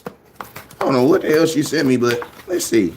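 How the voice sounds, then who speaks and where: A young man hosting a casual fan show, talking in slang and repeating himself when excited. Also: mid-range voice, moderate pace, a little hiss or hum. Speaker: sports fan show host. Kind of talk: casual live-stream chat.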